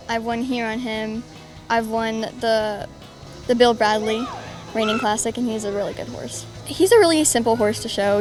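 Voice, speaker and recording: Upbeat young Florida woman, higher voice. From Central Florida. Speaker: horse show competitor.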